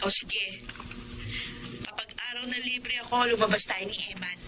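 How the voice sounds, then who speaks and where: A woman's voice: fairly high pitch, fairly even intonation, normal speed, lightly acted. An actress playing a lonely young woman with an emotional, pleading delivery in a Tagalog radio drama. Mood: shy, soft. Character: May, lonely young woman missing her boyfriend, defensive.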